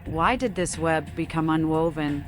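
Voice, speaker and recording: A woman's voice: low voice, unhurried. An actress voicing a mad female vampire seer in a video game, theatrical and cryptic. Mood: mocking, probing. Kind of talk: video game protagonist voice-over. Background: music.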